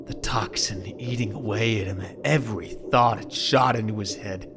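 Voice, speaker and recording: A man's voice: fairly low pitch, normal speed, music in the background. A man reading scripted, dramatic narration for an audio drama.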